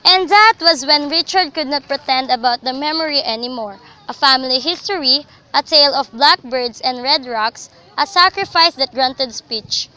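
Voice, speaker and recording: A woman's voice: high-pitched, normal speed, hardly any pauses, sharp tone, low-quality recording. A young woman narrating a scripted story for a radio drama.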